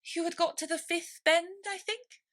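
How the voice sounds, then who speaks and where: A woman's voice: very high voice, fairly even intonation, a little slow, voice pushed up. A woman voicing a polite, curious little girl in a storybook, speaking in an earnest, eager way. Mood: humble, helpful.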